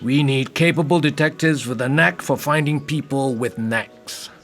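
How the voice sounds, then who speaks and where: An actor playing a persistent, self-assured middle-aged detective, wry and insistent in audio drama dialogue. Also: male voice, medium pitch, normal speed, fairly bright tone, faint music behind, lightly acted. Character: persistent, smooth-talking middle-aged male senior detective.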